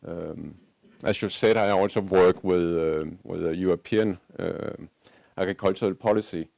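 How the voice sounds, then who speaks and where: Low, creaky-voiced Danish man speaking haltingly. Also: steady tone, a little mellow, no room sound. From Denmark. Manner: hesitant, halting, searching for words. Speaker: panelist, agricultural policy advocate. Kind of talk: unscripted panel remarks.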